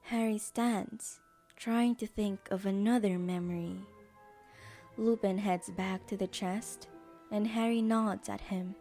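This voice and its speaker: A woman narrator reads a scripted audio drama at an unhurried pace. Her tone is mellow, and faint music plays behind her storytelling. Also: medium pitch, fairly even intonation.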